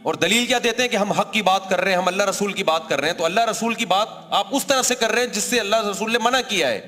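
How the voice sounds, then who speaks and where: Bright-voiced cleric in a reverberant clip. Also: male voice, high-pitched, quick pace. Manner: conversational, argumentative, recounts his own exchanges. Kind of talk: speech to an audience.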